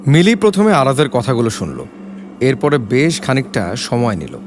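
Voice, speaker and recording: A man's voice: mid-range voice, huge pitch swings, moderate pace, slight background noise. A man giving a dramatic scripted narration of an audio story.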